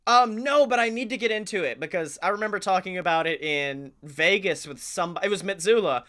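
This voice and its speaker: A man chatting casually as a comedy podcast co-host, enthusiastic, talkative and quick to swear. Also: high-pitched, pushed and bright.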